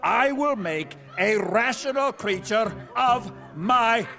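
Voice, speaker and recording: An actor playing an obsessed, grandiose scientist who creates men from animals, theatrical and brooding, in a live audio drama. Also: male voice, very high voice, animated, takes it slowly, heavily acted. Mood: fervent, defiant. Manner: theatrical, brooding, swelling into fervent declamation. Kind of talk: dramatic monologue in a live radio-style play. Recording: live stage recording with music underneath.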